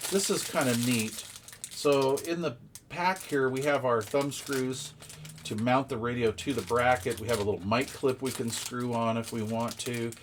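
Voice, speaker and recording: A man's voice: medium pitch, a little hiss or hum. A man giving a casual, hands-on unboxing commentary, explaining details informally and thinking aloud as he goes. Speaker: product reviewer.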